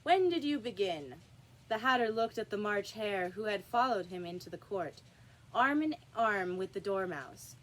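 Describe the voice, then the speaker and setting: A woman reading a children's classic aloud as an expressive storybook narrator. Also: medium pitch, normal speed, a little hiss or hum, rough recording. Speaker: storybook reader.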